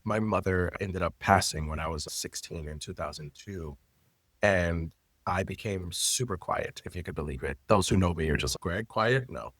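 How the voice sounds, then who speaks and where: A man in his late thirties, a native Haitian Creole speaker, telling his story in an interview in an expressive, personal way with loose phrasing. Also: deep voice, moderate pace, husky, dry recording. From Florida, United States. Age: late 30s. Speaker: guest, intimacy coordinator and teacher.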